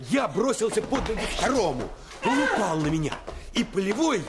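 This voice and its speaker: An actor narrating a radio play in the first person as an earnest, intense storyteller recalling a boyhood adventure. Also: male voice, a little high. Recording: radio play with music underneath.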